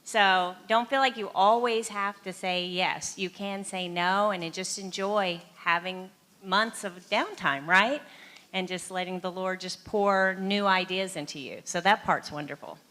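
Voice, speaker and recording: Upbeat woman on a panel, bright forward voice, encouraging. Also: mid-range voice, measured pace. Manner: upbeat, warm encouraging advice. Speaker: filmmaker panelist. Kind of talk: casual panel talk.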